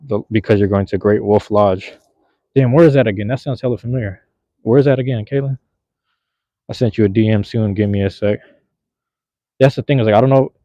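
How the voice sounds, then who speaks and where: Young male streamer, relaxed and slangy, low mellow voice, dry close phone audio. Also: no echo. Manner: laid-back, slangy, rambling. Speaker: livestream host. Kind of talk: casual live chat with viewers. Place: outdoors at night in a park, cold drizzle. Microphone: handheld phone. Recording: slightly dull lo-fi phone audio.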